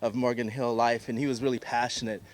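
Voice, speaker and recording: A man's voice: medium pitch, flat delivery, fast. A man, an agency chief, hosting a press conference and formally thanking speakers and guests at a podium microphone. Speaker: host, agency CEO.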